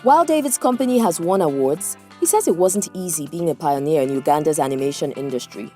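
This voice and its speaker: Woman doing news feature voiceover, mellow, really varied pitch, soft guitar music behind. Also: lively intonation, a little fast. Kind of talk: scripted narration.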